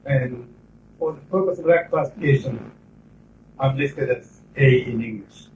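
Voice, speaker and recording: A middle-aged man with a Japanese accent, lecturing a class in English about interpreting in a measured, explanatory way with pauses between phrases. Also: mid-range voice, background noise. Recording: roomy, distant, dull 1990s classroom tape.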